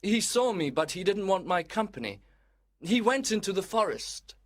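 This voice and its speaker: A man's voice: high voice, big pitch swings, moderate pace. A man playing a loyal, concerned young cousin in a storybook, with an earnest, inquisitive manner. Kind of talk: storybook dramatization.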